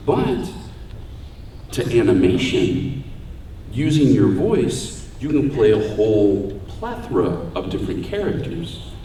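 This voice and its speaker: A man with a deep voice giving a casual, joking talk about voice acting on a convention panel. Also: big pitch swings, takes it slowly, mellow, slight background noise. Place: large convention hall room. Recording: echoey hall, voice far from mic.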